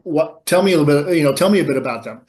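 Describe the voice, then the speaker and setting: A man's voice: fairly high pitch, animated, darkish tone. A man co-hosting a business webinar, chatting eagerly with frequent fillers and restarts. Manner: enthusiastic, halting, many fillers and restarts. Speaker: webinar co-host, sales director. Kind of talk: casual webinar chat.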